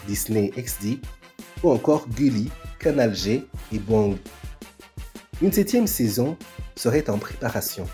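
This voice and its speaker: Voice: mid-range voice, mellow. A presenter giving a scripted, explanatory lesson in a didactic way, stressing key words. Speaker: presenter of an educational French-language TV segment. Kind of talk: scripted teaching narration, explanatory.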